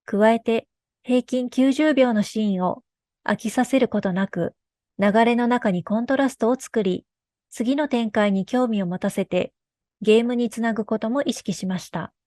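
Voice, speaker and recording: A woman's voice: fairly high pitch, normal speed, mellow, no echo. A woman giving a scripted technical presentation about her studio's work. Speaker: game studio developer presenting a workflow.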